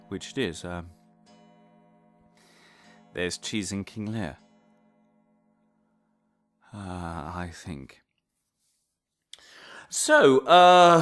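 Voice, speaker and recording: A pompous crackpot professor in a comic audio drama lecture. His low voice has a gravelly creak and swings wildly in pitch. He leans on dramatic pauses, then breaks into theatrical outbursts. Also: a bit bright, full character voice. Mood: sheepish, rambling. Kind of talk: comic monologue as a mock lecture.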